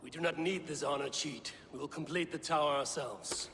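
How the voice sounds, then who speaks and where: An actor playing a proud, ruthless god in a video game, speaking in a grave, measured, commanding way. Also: male voice, fairly high pitch, a bit bright, slight background noise. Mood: stern contempt. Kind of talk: dramatic video game cutscene acting. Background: prominent music.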